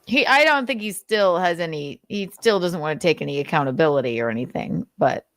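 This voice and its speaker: Woman w a lowish voice reading notes n commenting, sarcastic, sweary, real dry close sound. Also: animated, a little fast, a bit bright, dry recording. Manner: sardonic, sweary, conversational. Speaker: host of a commentary show.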